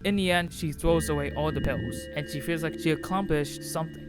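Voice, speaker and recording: A man's voice: on the high side, fairly even intonation, a little fast. Earnest man narrating a video essay over music. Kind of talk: scripted video essay commentary on a game. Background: music playing under the voice.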